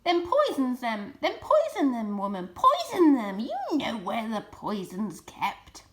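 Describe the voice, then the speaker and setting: A woman voicing a bossy, impatient farmer's wife in a storybook, giving curt, shrill orders. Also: wildly swooping pitch, measured pace.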